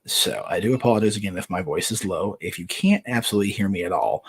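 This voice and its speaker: A man's voice: deep voice, lively intonation, quick pace, gravelly, slightly rough. A man hosting his own live online show, chatting casually and keeping his voice down, with many fillers as he rambles. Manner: talks softly to keep quiet, meandering with many fillers. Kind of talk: casual live-stream chat. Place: indoors, away from home on a trip.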